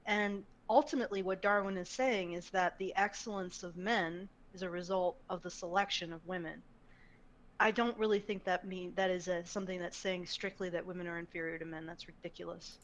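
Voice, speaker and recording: A woman co-hosting a casual podcast, reasoning through an argument out loud. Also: lowish voice, fairly even intonation, a little fast. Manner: analytical, reasoning aloud. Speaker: co-host.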